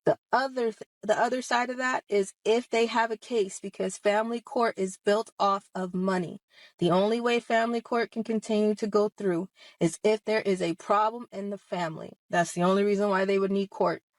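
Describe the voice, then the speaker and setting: Woman, 30, online host. Impassioned, confrontational testimony, mid-pitched, dry close sound. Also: fairly even intonation, moderate pace, dry recording. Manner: emphatic, confrontational, rhetorical questions. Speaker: online video host speaking about personal experience. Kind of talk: impassioned personal testimony straight to audience.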